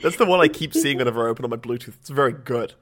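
A man co-hosting a casual podcast from his small flat, rambling a little with ums and restarts. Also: mid-range voice, very fast. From Australia. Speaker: podcast co-host. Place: small home flat, blanket around the mic. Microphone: home podcast microphone.

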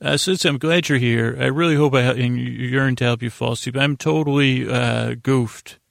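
A man hosting a bedtime comedy podcast, rambling in a halting, meandering, self-deprecating way. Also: mid-range voice, steady tone, creaky, no echo, clean studio sound. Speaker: podcast host.

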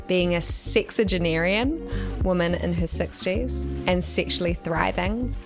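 A woman hosts a podcast, bubbly and keen as she introduces her guests. Her voice sits a little low, and music plays under it. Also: unhurried, gravelly, rough recording. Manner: bubbly, enthusiastic. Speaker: podcast host. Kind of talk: scripted intro and casual interview.

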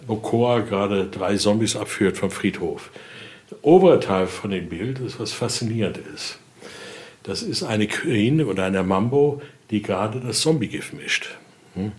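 A man giving an informal spoken explanation as an expert guide, often adding short tag questions to check agreement. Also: on the low side. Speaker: expert guide showing a collection.

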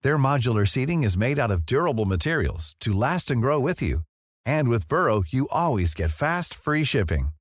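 A man's voice: medium pitch, a bit bright, no echo, studio quality. A man announcer reading a scripted advertisement. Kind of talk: scripted ad read.

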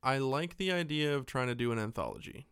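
A man's voice: mid-range voice, lively intonation, brisk, creaky, fairly bright tone, clean tone, dry recording, clean studio sound. A man co-hosting a podcast, giving a casual film recap in an irreverent, mocking way. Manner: irreverent, mocking, mimics characters' lines. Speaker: podcast co-host. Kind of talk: casual film recap chat with acted-out dialogue.

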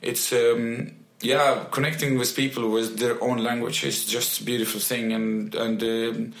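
Man speaking English, halting. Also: mid-range voice, little pitch movement, echoey room. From Egypt. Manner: halting, with frequent restarts and fillers. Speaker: musician guest. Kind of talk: interview answers.

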